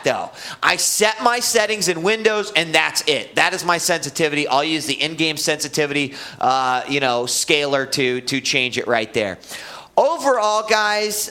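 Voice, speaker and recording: A man's voice: high voice, sharp tone. A man hosting a live gaming web show, casually reviewing a computer mouse with lots of fillers and restarts.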